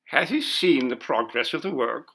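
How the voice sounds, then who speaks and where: A man voicing a mild, fussy old college president in a ghost story reading, gentle and wondering in manner. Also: mid-range voice, animated, moderate pace, gravelly. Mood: curious.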